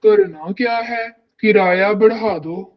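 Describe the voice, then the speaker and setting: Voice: mid-range voice, slow, muffled recording, natural voice. An actor voicing a female crow, a landlord's scheming wife, in a cartoon. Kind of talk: Hindi cartoon dialogue.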